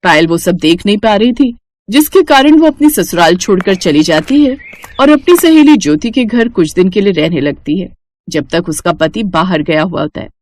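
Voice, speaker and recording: A woman's voice: mid-range voice, lively intonation, normal speed, a little mellow, slight background noise. A woman storyteller reading a scripted moral tale as narration.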